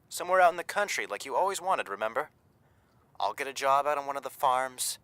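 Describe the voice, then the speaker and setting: An actor playing a plain-spoken, earnest young hired thug in a 1930s radio drama. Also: male voice, medium pitch, bright, forceful tone, dry recording. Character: tough, earnest young male hired thug, devoted expectant father.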